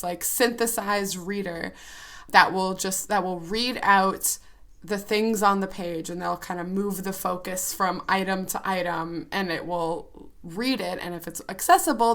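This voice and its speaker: A woman in her twenties co-hosting a podcast, chatty and bubbly, with a hoarse, scratchy voice. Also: mid-range voice, fairly bright tone. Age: 20s. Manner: bubbly, chatty, laughs often. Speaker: podcast co-host. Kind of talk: casual chat.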